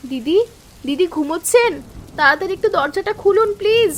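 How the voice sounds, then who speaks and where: Timid young sister-in-law, high voice, anxious, pleading, earnest, cartoon dialogue, faint rainy noise behind. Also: female voice, lively intonation, fairly quick. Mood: frightened. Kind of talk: animated story dialogue.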